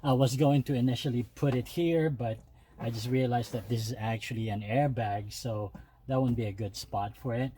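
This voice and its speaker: A man hosting a DIY video, talking viewers through steps casually with halting, hesitant phrasing. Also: medium pitch, steady tone, mellow, slight background noise. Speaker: DIY car modification video host. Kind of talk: casual step-by-step tutorial talk.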